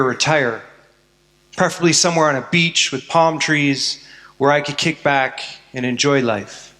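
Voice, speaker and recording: A man's voice: on the high side, bright, forceful tone. A Canadian man telling his life story in a prepared talk to students, earnest with some self-mocking humor. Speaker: speaker addressing students. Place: school hall. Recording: long hall reverb.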